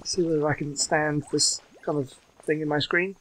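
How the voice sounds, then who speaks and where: A man's voice: fairly high pitch, steady tone, normal speed, a bit bright, poor audio. A man hosting a gaming video with casual, rambling, hesitant commentary.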